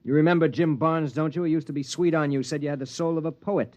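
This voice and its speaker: A man's voice: a little high, quick pace, darkish tone, close to an everyday voice. Man acting stern husband, dull old radio. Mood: probing, guarded. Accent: American. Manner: stern, clipped, lecturing. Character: domineering, ambitious, suspicious husband in a post-apocalyptic shelter. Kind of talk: mid-century American sci-fi radio drama acting. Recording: dull, band-limited vintage radio recording.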